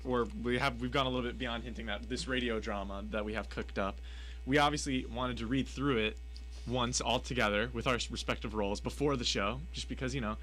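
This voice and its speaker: A young man chats casually as a co-host on a radio show. His voice is average in pitch, and there is a faint noisy hum on the recording. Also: steady tone, brisk, a bit bright. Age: young adult. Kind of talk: casual chat. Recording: faint hum and background noise.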